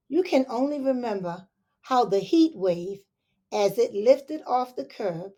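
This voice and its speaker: A woman teacher reading a picture book aloud to young children, expressive and unhurried, with soft asides to her listeners. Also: fairly high pitch. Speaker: elementary school teacher.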